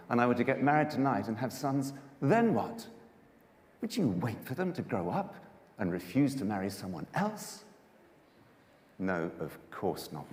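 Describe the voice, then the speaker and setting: A man's voice: lowish voice, darkish tone. A man voicing a sorrowful older widow in a dramatised Bible story, pleading tenderly and bitterly with emphatic delivery. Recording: echoey church hall, long reverb.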